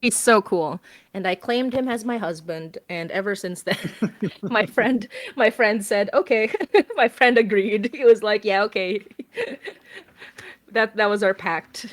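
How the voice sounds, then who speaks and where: A woman's voice: medium pitch, slow pace, no echo. A woman on a livestream chatting casually about monsters and comics, laughing often and using lots of fillers. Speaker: podcast host and guest writer. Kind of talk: casual stream chat.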